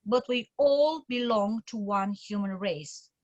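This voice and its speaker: A woman's voice: medium pitch, slow, darkish tone, no room sound, muffled recording. A woman from Romania, giving an informal, enthusiastic talk on a video call, with many fillers and restarts. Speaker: community speaker at an online meeting.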